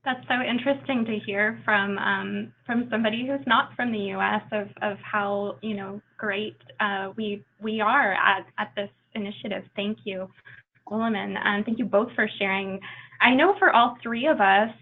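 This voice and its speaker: A woman's voice: medium pitch, steady tone, moderate pace. Appreciative woman moderator on a phone-like line. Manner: appreciative, thanks speakers often. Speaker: webinar moderator. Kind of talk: moderating a webinar.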